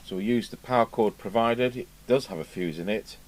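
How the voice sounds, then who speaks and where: A middle-aged British man with a low voice talks through a hands-on technical demonstration. He muses aloud without a script, pausing as he works things out. The workshop recording carries clear background noise. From United Kingdom. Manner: unscripted, musing aloud, hesitant pauses. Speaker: radio repair technician. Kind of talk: informal hands-on workbench demonstration. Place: indoor radio repair workbench.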